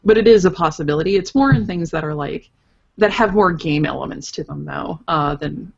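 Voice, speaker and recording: A woman's voice: low-pitched, a little fast, vocal fry, slightly rough, dull audio. A woman guest on a casual podcast, explaining her writing thoughtfully and working through hypothetical examples as she goes. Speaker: podcast guest, interactive fiction writer.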